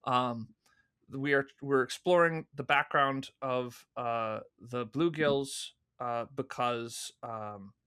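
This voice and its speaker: A man being interviewed as a guest, explaining his creative choices in a thoughtful, articulate but halting way, stressing key words. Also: medium pitch, sharp tone, clear, resonant tone. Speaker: interview guest, audio drama producer and writer.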